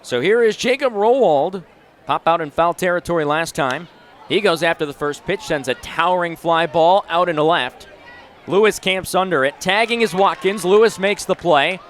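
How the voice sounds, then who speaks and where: A man's voice: sits high, moderate pace, a bit bright, some chatter behind. A man in his twenties doing live play-by-play sports commentary in short, punchy announcer phrases. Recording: noisy live venue sound.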